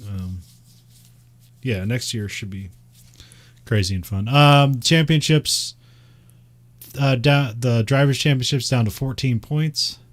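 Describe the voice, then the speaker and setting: A man's voice: medium pitch, slow, long pauses. A man with a Midwestern American accent, chatting casually and rambling, with frequent laughs and fillers. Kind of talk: casual chat about racing.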